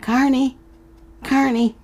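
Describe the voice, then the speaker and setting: Calm, gentle healer, quiet and reassuring, as a woman reads him in a storybook. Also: medium pitch, normal speed, breathy edge, voice pushed up, full character voice. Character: gentle calm man who comes to heal.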